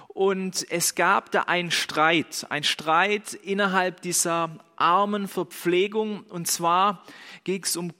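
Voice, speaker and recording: Bright, forceful young preacher, man. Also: high-pitched. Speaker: pastor. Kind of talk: sermon. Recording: long hall reverb.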